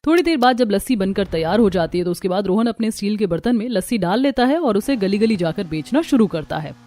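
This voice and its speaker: Mellow-voiced woman storyteller. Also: medium pitch, lively intonation, fast. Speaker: narrator. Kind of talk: scripted story narration. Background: music.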